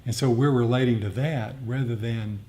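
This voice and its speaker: A man with a soft, mellow voice gives a reflective dharma talk. He explains things haltingly, pausing to find his words as he answers a group. A low rumbling noise sits behind him. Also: fairly even intonation. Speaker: meditation teacher. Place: group meditation gathering.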